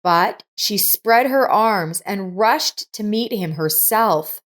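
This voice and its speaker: Woman, story narrator, unhurried pace, deliberate delivery, medium pitch. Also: expressive, fairly bright tone.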